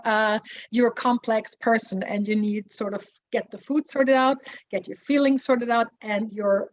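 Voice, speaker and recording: A woman, sharing her personal story hesitantly, with many restarts. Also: a little high. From Austria. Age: 40s. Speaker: support group member. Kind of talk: personal testimony. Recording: muffled lo-fi audio.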